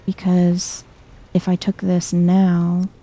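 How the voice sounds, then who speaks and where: A soft-spoken woman giving chill, hushed ASMR commentary while thinking aloud through a video game. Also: lowish voice, completely flat delivery, slow, poor audio.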